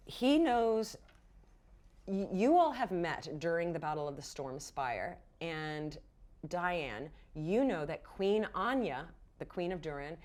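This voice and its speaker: A woman game master narrating a fantasy tabletop roleplaying game in a playful, theatrical storytelling manner. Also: fairly low pitch. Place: indoor studio set.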